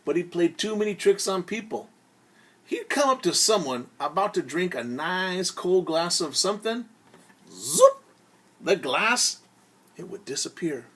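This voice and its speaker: A man telling a story as a teacher to young children, theatrical, pausing to explain words simply and acting out sounds. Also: high-pitched, very animated, a bit bright, husky.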